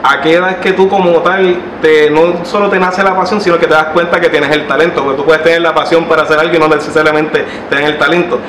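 A man hosts an interview, voice pitched a bit high for a man, pitching his guest with upbeat energy. He is picked up from a distance by a camera mic. The studio set sounds roomy, with a constant noise underneath. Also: fairly even intonation, quick pace, few pauses. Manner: enthusiastic, promotional introductions. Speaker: interview host. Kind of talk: hosted interview. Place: living-room style studio set.

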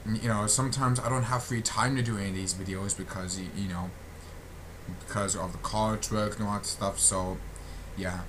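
Bright-voiced young man, halting delivery. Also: fairly low pitch, steady tone. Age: young adult. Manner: halting, repeats and restarts phrases often. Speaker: gaming video creator giving channel updates. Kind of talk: casual update monologue. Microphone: built-in computer microphone. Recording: noisy built-in computer microphone.

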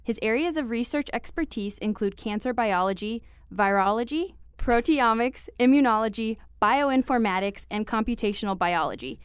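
A woman co-hosting a business podcast, reading a guest's biography and leading an interview in a friendly, even way. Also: fairly high pitch, normal speed, few pauses, smooth, no echo, studio quality. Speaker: podcast co-host.

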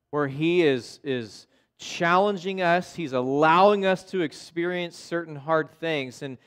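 American guy pastor giving a casual sermon, smooth clear voice, bit on the higher side. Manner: informal, checks in with listeners using "okay?" and "right?". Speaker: pastor preaching. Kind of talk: conversational sermon. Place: church sanctuary in front of a congregation.

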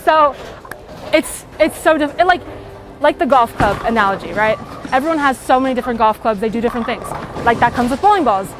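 A woman's voice: on the high side, a little fast. A teenage girl talking casually about bowling in an upbeat, chatty way with lots of fillers, interviewed in a bowling alley. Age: teens. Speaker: high school bowler. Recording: music playing underneath.

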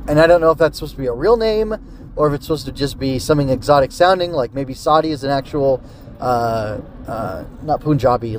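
High-pitched man rambling through a review. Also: darkish tone, a little hiss or hum. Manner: rambling, hesitant, thinking aloud. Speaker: podcast host reviewing an old radio drama. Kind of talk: casual solo review commentary.

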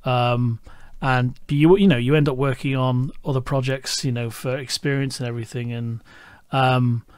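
A man's voice: medium pitch, fairly even intonation, normal speed, clean tone, no room sound. Scouse male podcast host, middle-aged, rambling casual questions, dry close sound. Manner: rambling, many restarts and fillers. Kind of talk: casual interview chat.

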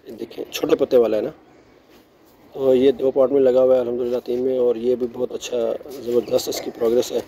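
A middle-aged man giving a relaxed, chatty tour of his rooftop garden, mixing English words into his speech, recorded outdoors on a phone. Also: medium pitch, fast, a little hiss or hum. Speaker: gardening vlogger. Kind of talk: casual show-and-tell narration.

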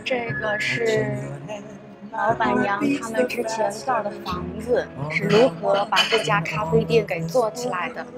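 A woman's voice: mid-range voice, steady tone, a little slow. A woman in her thirties from Shanghai with a Shanghai Mandarin accent, chatting upbeat and straight to the viewer in a casual vlog, recorded on a clip-on mic in a garden café. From Shanghai, China. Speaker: travel vlogger. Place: garden and wooden café, mostly outdoors. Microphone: small clip-on mic on the shirt. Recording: soft music underneath.

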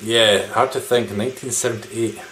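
Male vlogger, thirties, lowish pitch, loose and rambling informal delivery, home recording with slight noise. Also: steady tone, normal speed, a bit bright. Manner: rambling, informal, mildly sweary. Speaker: video game collector vlogger. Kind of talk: casual chat showing game pickups to camera. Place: small living room indoors. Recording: background noise, room ambience.

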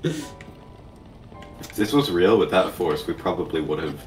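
An echoey recording of a man a little way from the mic. His voice is low, and he banters in a jokey, mock-serious way while gaming. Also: slow, faint music behind. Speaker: gaming video co-host playing the game. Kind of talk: casual joking banter while playing a video game. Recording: distant echoey room, faint noise.

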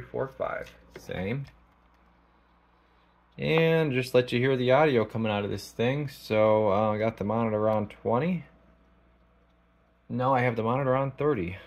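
A man giving a casual product demonstration as a technician, thinking aloud in a halting, informal way. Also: mid-range voice, gravelly. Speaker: radio technician. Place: small indoor electronics workshop.

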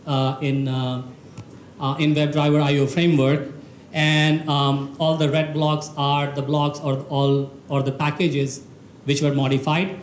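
A man in his thirties giving a technical meetup talk in a room with an audience, speaking into a handheld mic, explaining step by step with fillers. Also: fairly high pitch. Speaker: conference speaker. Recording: roomy hall with faint noise.

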